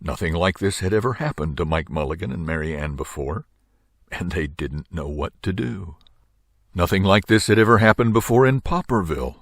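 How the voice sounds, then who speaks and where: An older man reading a children's story aloud with dramatic, drawn-out phrasing. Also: sits low, no echo, studio quality. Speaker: storybook reader.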